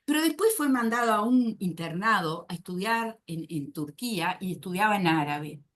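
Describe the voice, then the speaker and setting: A woman psychoanalyst speaking on a conference panel, thoughtful and often pausing to search for words. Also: medium pitch, animated. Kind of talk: academic conference talk.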